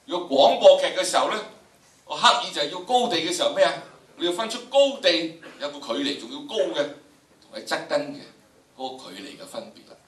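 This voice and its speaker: An older man with a Hong Kong Cantonese accent lectures like a stage veteran, theatrical and animated. His voice is bright and projected. He is picked up from a distance in an echoing hall. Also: fairly high pitch, a little fast. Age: older, about 70s. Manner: theatrical, acting out examples. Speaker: drama teacher, workshop instructor. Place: large lecture hall with student audience. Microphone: handheld microphone through room speakers, recorded from the audience.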